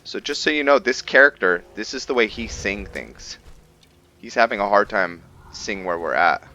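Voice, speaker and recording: A man giving casual roleplay game commentary, thinking aloud in a halting way and trailing off mid-sentence. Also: on the low side, expressive, pushed and bright, dull audio. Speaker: gaming video host.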